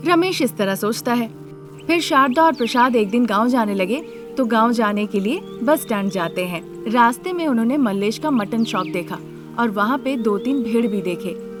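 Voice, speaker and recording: A woman narrates a scripted tale. Her voice sits fairly high. Music is clearly audible underneath her narration. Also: expressive, normal speed.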